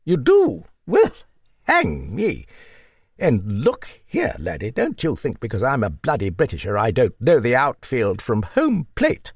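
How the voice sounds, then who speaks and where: Casting: man playing a gruff old dame, blustery and hearty, swooping lively pitch, gravelly edge. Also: unhurried, no echo, clean studio sound, full character voice. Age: older. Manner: blustery, hearty, muttering asides. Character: gruff hearty old woman, blunt and motherly. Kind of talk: audiobook character voice.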